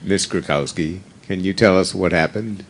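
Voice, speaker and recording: A man's voice: low voice, normal speed. A man playing a terse junior police investigator in a comic live radio play. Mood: deadpan. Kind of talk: comic radio drama dialogue. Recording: noisy, distant live room recording.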